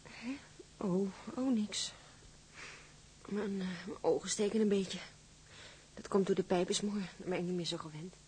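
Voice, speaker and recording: A woman's voice: mid-range voice, measured pace, long pauses, a little mellow, slight background noise, lightly acted. A woman playing a homely but firm young seaman's wife and mother in a radio drama. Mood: subdued, worried. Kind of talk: naturalistic period radio drama.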